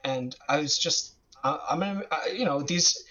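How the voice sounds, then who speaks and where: A man's voice: a little high, normal speed, pushed and bright. A man from the United States talking casually as a guest about politics, rambling with many restarts and fillers. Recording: slightly dull lo-fi audio.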